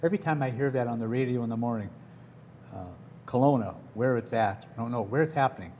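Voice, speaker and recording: A man's voice: medium pitch, quick pace, darkish tone, a little hiss or hum. A man hosting a local TV interview in a studio, asking long, rambling questions with restarts. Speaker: TV talk show host.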